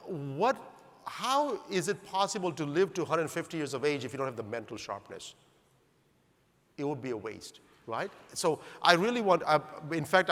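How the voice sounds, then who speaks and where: A middle-aged man from Zambia giving an animated conference talk, often putting rhetorical questions to the audience. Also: medium pitch. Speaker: speaker at a longevity conference. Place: large conference hall. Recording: long hall reverb.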